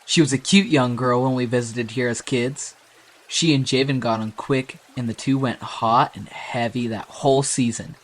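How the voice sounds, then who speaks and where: A man's voice: medium pitch, steady tone, normal speed, lightly acted, poor audio. A man voicing a friendly young privateer who rambles on. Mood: chatty.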